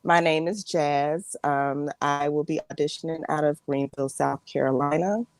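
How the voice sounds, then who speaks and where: A woman's voice: deep voice, steady tone, normal speed, dry recording. A woman from the United States chatting casually as an auditioning actor. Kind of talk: casual chat.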